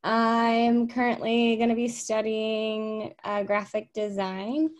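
Woman student from California, chatty, brightish high voice. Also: little pitch movement. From California, USA. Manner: quick, chatty, with fillers.

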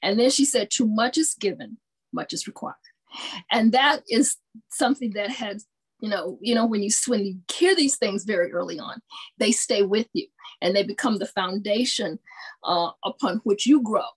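Middle-aged southern woman on a video call, husky-ish, rambling story with lots of uh and um. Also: mid-range voice, normal speed, poor audio. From American South. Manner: warm storytelling with many fillers. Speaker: panelist. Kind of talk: panel discussion on video call. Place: home room with bookshelves. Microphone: video call webcam.

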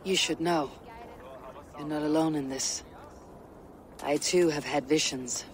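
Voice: mid-range voice, steady tone, measured pace, close to an everyday voice. A man playing a stoic, loyal young Viking warrior, guarded and earnest, in a dramatic video game cutscene.

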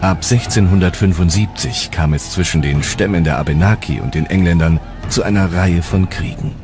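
A man narrates a documentary from a script in a low, deep voice. Music plays clearly behind his narration. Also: moderate pace.

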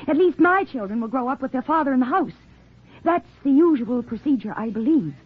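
An actress playing an indignant grown daughter, sharp-tongued and scornful, in 1940s radio drama style. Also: female voice, high voice, lively intonation, fairly quick, slightly rough. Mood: bitter, scornful. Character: bitter, indignant grown daughter of runaway father. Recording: thin, tinny 1940s radio recording.

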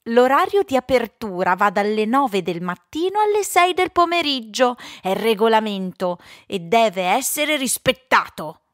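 A screechy, stubborn male chimpanzee from a storybook, acted by a woman. He taunts in a raised, shrill pitch. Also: big pitch swings, a little slow, few pauses, dry recording, studio quality. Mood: stubborn, indignant.

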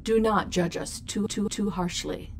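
A woman playing a calm, formal ship's computer with measured speech in an audio drama. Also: medium pitch, vocal fry, a little hiss or hum.

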